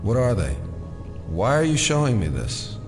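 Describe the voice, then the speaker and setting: An actor playing a defiant, sardonic American federal agent held captive in an audio drama, muttering wry asides. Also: male voice, mid-range voice, very animated, moderate pace. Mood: uneasy, incredulous. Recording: loud dramatic music behind.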